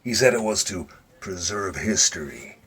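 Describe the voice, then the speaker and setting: Low-voiced, dying old agent, confiding. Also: male voice, expressive, normal speed, a bit bright, breathy edge, exaggerated voice. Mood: wry. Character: dying retired Secret Service agent, wry, weary, confiding. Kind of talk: audio drama acting.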